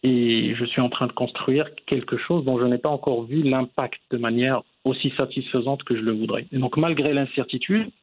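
A man in his forties giving measured, reflective answers as the guest in an interview. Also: medium pitch, husky, narrow, tinny audio. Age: 40s.

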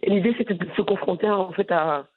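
A woman from Brussels with a Brussels French accent, answering interview questions in an animated, frank way with frequent restarts. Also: medium pitch, fairly quick. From Brussels, Belgium. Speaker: content creator guest. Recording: phone-line audio.

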